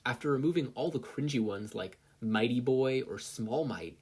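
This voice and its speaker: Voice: mid-range voice, lively intonation, normal speed, a little mellow, husky. A narrator reading fan fiction aloud expressively, as a story with character voices. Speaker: podfic reader, narrator.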